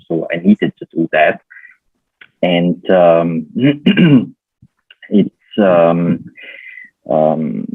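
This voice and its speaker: A German-accented man with a very low voice talks hesitantly in a casual chat, pausing and restarting often. His voice is husky and comes through a tinny, dry phone-like line. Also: no room sound. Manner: hesitant, many fillers and restarts. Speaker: guest.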